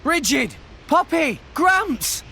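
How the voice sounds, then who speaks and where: A man's voice: extremely high pitch, big pitch swings, slow pace, gravelly, pushed and bright, a little hiss or hum, full character voice. An actor playing an earnest, kind-hearted teenage boy hero in a video game cutscene. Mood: alarmed shouting.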